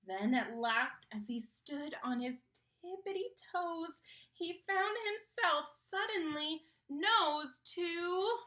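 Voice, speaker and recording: A woman reading a children's picture book aloud in an expressive, theatrical manner, engaging young listeners. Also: extremely high pitch, slow pace.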